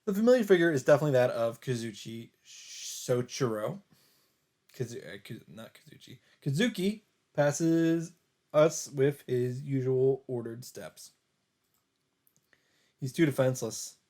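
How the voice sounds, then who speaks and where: Mellow-voiced man reading a game aloud. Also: mid-range voice, lively intonation, a little slow. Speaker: let's player. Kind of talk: reading visual novel narration aloud.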